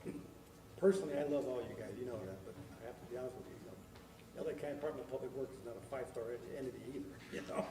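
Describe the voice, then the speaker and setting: Very fast, mellow-voiced man, far off. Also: mid-range voice, noisy background. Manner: blunt, leans on "you know". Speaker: resident at a community meeting. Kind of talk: public meeting Q&A. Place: school meeting hall. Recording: echoey hall, distant mic.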